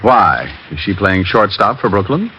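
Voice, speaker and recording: A low, lively, bright man's voice plays a joking private eye, tossing off dry quips. The sound is muffled like an old radio broadcast, with faint music. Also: a little slow, a little hiss or hum, full character voice. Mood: wry. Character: wisecracking male private detective. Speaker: narrator and lead. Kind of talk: 1940s radio acting.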